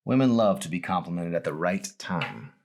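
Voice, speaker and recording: A man's voice: low voice, animated, normal speed, gravelly, a little mellow, slight background noise. A man reading the lines of a sassy, teasing young female hospital volunteer from a novel.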